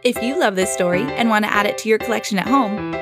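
A woman storyteller hosting a podcast, reading a children's fairy tale expressively with clear enunciation. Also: medium pitch, quick pace, loud music behind the voice.

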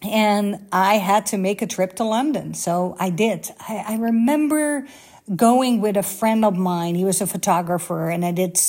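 A woman's voice: medium pitch, normal speed, a little mellow. A middle-aged woman from the Netherlands with a Dutch accent, telling stories about her own career in a rambling, chatty way with lots of asides and self-corrections. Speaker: podcast host, former flight attendant. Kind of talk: casual storytelling.

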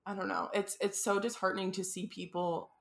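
A woman guest on a podcast, talking casually and warmly with constant "like" fillers. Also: medium pitch, little pitch movement, fairly quick, gravelly. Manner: gushing, filler-heavy, lots of "like".

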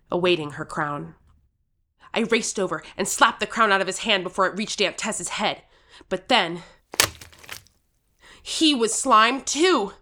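A woman's voice: on the low side, bright, forceful tone. A woman playing an earnest, determined thirteen-year-old girl who tells her own story with urgency in an audio drama. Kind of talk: first-person audio drama narration and acting.

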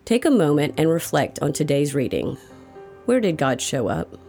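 A woman's voice: low voice, wildly swooping pitch, normal speed, dark tone, faint music behind. A woman reads a prepared devotional reflection. Her delivery is that of a scripted reader, at an ordinary pace with a moderate tone. She speaks as the narrator of a daily faith reading.

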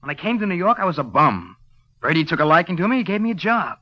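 A man's voice: high-pitched, very animated, fast talker, no echo. An actor playing a cornered, defiant henchman in an old-time radio crime drama, with tense, clipped retorts. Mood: desperate. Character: cornered, defiant male gambling-club henchman. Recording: dull old radio recording.

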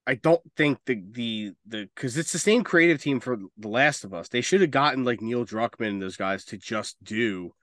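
A man with a mid-pitched voice talks casually as a podcast co-host. He gives his opinions bluntly and swears often. The sound is dry, with very little room. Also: moderate pace, few pauses, a bit bright, no echo. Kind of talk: casual opinionated chat about games and films.

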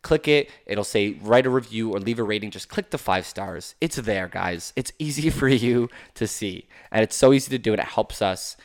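Young male podcaster, low voice, dry close sound, chatty and upbeat. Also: expressive, moderate pace, few pauses, no echo. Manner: upbeat, chatty, prone to restarts. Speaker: podcast co-host. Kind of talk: casual podcast chat.

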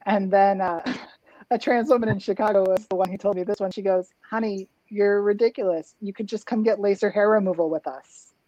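A woman's voice: medium pitch, moderate pace, mellow, lo-fi sound. A woman in her thirties, a drag king performer, talking reflectively in a casual interview with lots of fillers and restarts, heard over a video call. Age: 30s.

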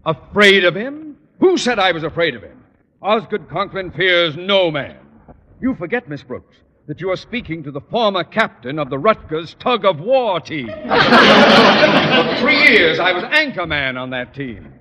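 A pompous, jumpy middle-aged principal blusters with theatrical sarcasm. His fairly high, forceful voice swings widely, as in an old radio sitcom with muffled sound. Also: sing-song, a little slow, big-room sound, heavily acted. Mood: blustering indignation. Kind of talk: 1950s radio sitcom acting. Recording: dull, noisy old radio recording.

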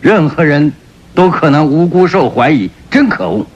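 An actor playing a proud middle-aged French marquis and doting father who is easily offended, in an expressive, theatrical radio drama manner. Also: a little high, normal speed, husky, highs cut off. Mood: indignant, angry. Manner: expressive, theatrical delivery with exclamations. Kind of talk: Mandarin radio drama acting.

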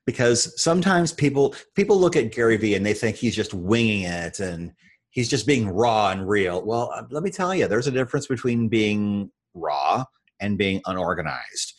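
A man's voice: lowish voice, animated, normal speed. A middle-aged American man being interviewed, telling his story in an earnest, self-assured, emphatic conversational way. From Iowa, United States. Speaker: podcast guest, internet radio show host.

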